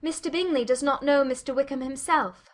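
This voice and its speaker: Lady doing a spirited young gentlewoman, highish voice, candid and politely challenging, classic novel drama. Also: fairly quick, natural voice. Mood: curious.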